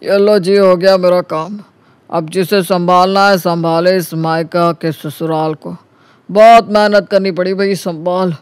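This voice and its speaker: Cartoon story role: male actor as sly young flatmate, notably high voice, glib teasing patter, dry recording. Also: a little slow, smooth, dry recording. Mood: smug. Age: young adult. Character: cunning, smooth-talking young man scheming against his flatmate. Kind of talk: Hindi animated story dialogue.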